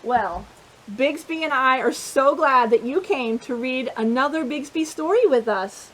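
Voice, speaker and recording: A woman with a high voice reads a children's picture book as a storytime host. She is expressive in her delivery and often steps out of the text to chat or put questions to her young listeners. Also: measured pace, poor audio. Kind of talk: read-aloud children's storytelling with chatty asides.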